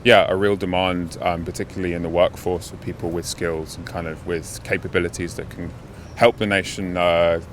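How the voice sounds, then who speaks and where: Deep-voiced man from the UK, measured. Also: flat delivery, background noise. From United Kingdom. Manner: measured, with frequent um and restarts. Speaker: interviewee, expat. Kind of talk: thoughtful casual answers. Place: outdoors on a city street.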